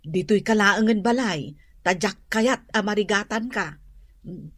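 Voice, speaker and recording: An actress with an Ilocano accent playing a firm, exasperated, protective grown daughter and elder sister in a radio drama. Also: female voice, medium pitch, unhurried, slightly rough, no echo, studio quality.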